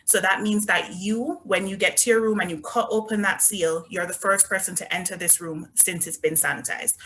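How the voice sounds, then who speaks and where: A woman's voice: medium pitch, fairly even intonation, normal speed, a bit bright, slight background noise, low-quality recording. A woman with a Jamaican accent, presenting resorts in an upbeat, chatty sales talk over a video call. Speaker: resort business development manager. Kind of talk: online travel presentation.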